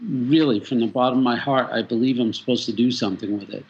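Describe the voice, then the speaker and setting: A man's voice: medium pitch, fast talker, lo-fi sound, a little hiss or hum. A man from the Boston area, a guest on a talk show, speaking in a wry, joking way that turns earnest when he talks about his purpose. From metropolitan Boston. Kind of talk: casual interview talk.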